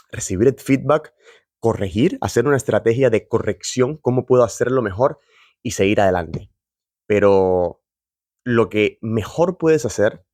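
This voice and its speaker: A man's voice: fairly low pitch, a little mellow, no echo, studio quality. A man giving an earnest, encouraging reflection straight to camera, admitting he is nervous about speaking on video. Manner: earnest and encouraging, addresses viewer directly. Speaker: motivational video host.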